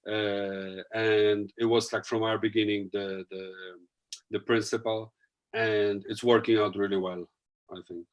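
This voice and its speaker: A man's voice: lowish voice, flat delivery, a little slow, lo-fi sound. A man with a Polish accent, a DJ guest, speaking loosely with many fillers while thinking aloud. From Poland. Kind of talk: casual interview chat.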